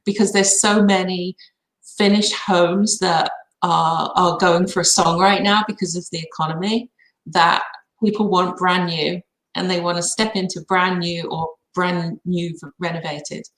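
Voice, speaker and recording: A woman's voice: on the low side, steady tone, a little slow, fairly bright tone. A woman speaking as a guest on a live stream, chatting casually in a hesitant, conversational way. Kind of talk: casual chat. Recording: roomy, echoing sound.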